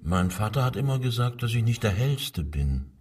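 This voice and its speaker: A man's voice: mid-range voice, sing-song, moderate pace, breathy edge, distant mic. An actor playing a meek, evasive man under police questioning in a radio crime drama, hesitant and deferential. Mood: guarded.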